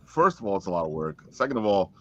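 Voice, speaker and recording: Deep-voiced man, animated casual talk. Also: quick pace, lo-fi sound. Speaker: voice-over performer on a discussion panel. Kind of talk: casual chat.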